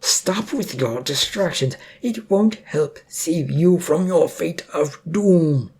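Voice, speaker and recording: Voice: mid-range voice, takes it slowly, a little mellow, a little hiss or hum, voice pushed up. A storyteller voicing an arrogant, snarling male superhero villain who talks with threatening contempt. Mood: impatient, threatening.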